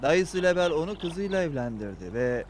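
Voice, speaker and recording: A man's voice: on the high side, very animated, normal speed, slight background noise. A man playing a villager passing on news in a religious cartoon. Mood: informative.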